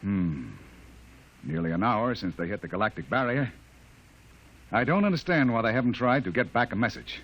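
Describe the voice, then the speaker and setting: A quiet, steady colonel with a low voice, on a dull old radio recording. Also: male voice. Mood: concerned. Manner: measured, quiet. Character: calm, weary male army colonel. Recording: muffled old radio broadcast.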